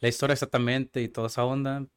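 A man's voice: mid-range voice, fast, smooth. A young man from Tijuana speaks. He answers as an interview guest in a loose, chatty way, with plenty of fillers and easy laughs. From Tijuana, Mexico. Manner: relaxed, chatty, laughs easily, filler-heavy. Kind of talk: casual interview chat.

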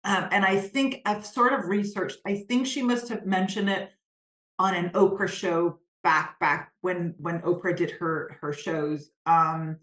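Guest coach, low-pitched, thoughtful delivery, recorded in an echoey room. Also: female voice, steady tone, a little slow, a bit bright. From Denmark. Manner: earnest, reflective, searching for words. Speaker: guest, spiritual business coach. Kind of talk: conversational interview.

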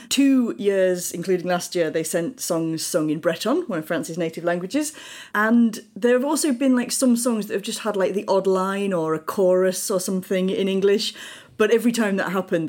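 A woman's voice: fairly low pitch, a little mellow. A woman speaking as an editor on a podcast panel, explaining news in a conversational way.

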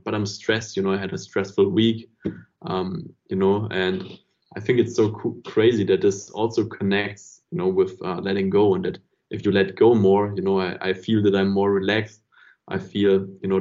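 A man's voice: sits low, a little mellow. A man in a casual podcast conversation, talking in a reflective, rambling way and often saying "you know". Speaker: podcast host. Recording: dull lo-fi podcast audio.

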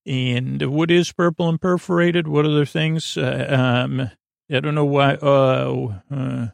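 A man hosting a sleep podcast in a meandering, halting monologue that keeps drifting off topic, with a gravelly, creaky voice. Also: fairly high pitch, dry recording, clean studio sound. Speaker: podcast host.

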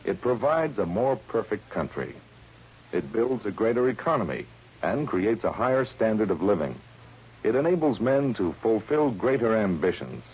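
Husky, low-voiced solemn male narrator. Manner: earnest, declamatory. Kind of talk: solemn scripted public-service narration. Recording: dull vintage radio broadcast recording.

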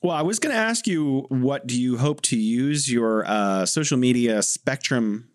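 A man's voice: medium pitch, animated, a little slow. A male co-host asking a guest questions politely. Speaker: podcast co-host. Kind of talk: interview questions.

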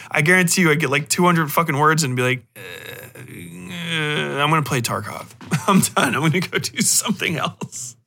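A man hosting a podcast in rambling, casual talk, animated and emphatic, swearing freely. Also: fairly high pitch. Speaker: podcast host and fiction writer.

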